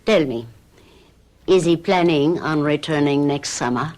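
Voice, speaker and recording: A polite, knowing innkeeper in an old radio mystery. She speaks in a low voice, slowly and formally, with a hint of the uncanny. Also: darkish tone. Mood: mysterious. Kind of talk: 1970s radio drama acting.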